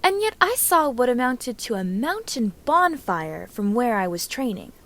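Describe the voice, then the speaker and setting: Storybook role: young kunoichi, read by a woman, dry, teasing, quick to retort. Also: a little high, very animated, a bit bright, exaggerated voice.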